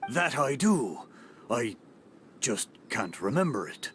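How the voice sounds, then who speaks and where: A man's voice: mid-range voice, sing-song, slow, a bit bright, soft background music. A man voicing a jovial old talking boat in a video game, with boastful, hearty pirate slang. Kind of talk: video game character acting.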